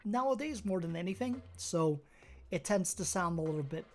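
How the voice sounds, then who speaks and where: Low-voiced woman, mostly American accent. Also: moderate pace. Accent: mostly American with a slight Brazilian touch. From Brazil. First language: Portuguese. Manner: relaxed, rambling, thinking aloud. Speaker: streamer. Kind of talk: casual chat with viewers while playing a game.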